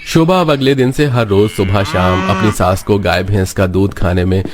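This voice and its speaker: A male narrator reading a scripted cartoon story. His voice is slightly low, and he delivers the tale as a storyteller. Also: moderate pace, a crowd in the background.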